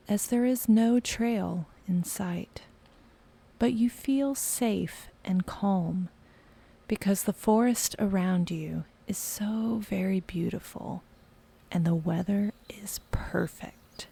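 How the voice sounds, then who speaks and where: A woman narrating a guided meditation softly and slowly, breathy and often close to a whisper. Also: medium pitch. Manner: soft, slow, partly whispered.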